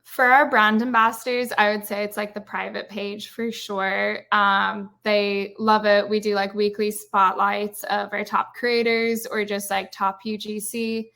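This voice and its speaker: A woman with an Irish accent, chatting loosely in a webinar and leaning on lots of "like" and "um". Her voice is bright and forward, and it comes over a video call. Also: medium pitch, little pitch movement, unhurried, vocal fry, hardly any pauses. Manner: chatty, many "like" and "um" fillers. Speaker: guest speaker, brand marketer. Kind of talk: casual webinar interview.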